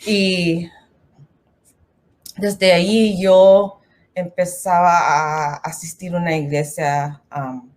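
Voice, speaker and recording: Woman, from the Philippines, lowish voice, slow and hesitant, nervous speaking Spanish. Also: little pitch movement, a little mellow. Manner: hesitant, many fillers, slips into English. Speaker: guest. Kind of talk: nervous testimony in halting Spanish.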